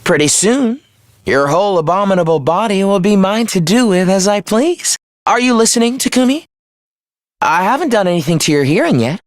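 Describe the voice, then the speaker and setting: A man's voice: high voice, no echo, studio quality. A young man playing a smug, mocking villain in a video game, with a condescending, theatrical delivery. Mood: gloating menace. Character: taunting, smug young villain living in someone's head. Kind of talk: video game character acting.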